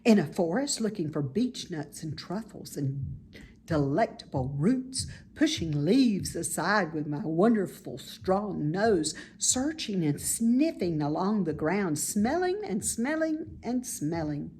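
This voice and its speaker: A woman's voice: on the low side, sing-song, takes it slowly, a little mellow, a little hiss or hum. A woman voicing a naive, sensitive young male pig in a children's storybook. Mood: wistful. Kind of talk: children's storybook read-aloud.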